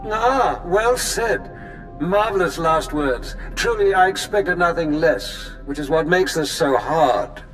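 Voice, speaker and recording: Theatrical, swooping villainous cult leader. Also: male voice, a little high, lively intonation, fairly bright tone, soft background music, low-quality recording. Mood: mock sorrow, menace. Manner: pompous, drawn-out, mock-tender.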